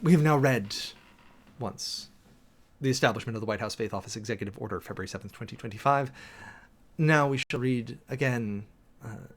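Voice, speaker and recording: A man giving a dramatic reading and close commentary in a formal, deliberate, sermon-like manner with emphatic pauses. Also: mid-range voice. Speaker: preacher and commentator hosting a show.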